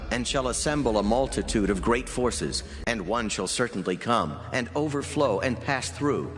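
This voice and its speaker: Solemn angelic messenger played by a man, low voice, measured prophetic declamation, clear music behind. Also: close to an everyday voice. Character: solemn heavenly male messenger delivering prophecy. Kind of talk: dramatized scripture reading. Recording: long hall reverb under clear music.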